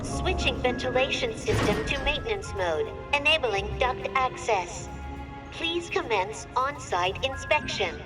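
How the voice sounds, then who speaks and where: Impersonal facility computer for a game, formal clipped announcements, highish voice, loud music. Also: female voice, animated, a little slow. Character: impassive female automated facility announcement system.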